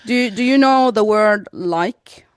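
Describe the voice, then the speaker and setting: A bubbly young woman with a Norwegian accent, chatting and teasing her co-host on a podcast. Her voice sits at an ordinary middle pitch. The sound is close and dry, with no room around it. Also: measured pace, smooth, no echo. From Norway. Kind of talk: casual chat.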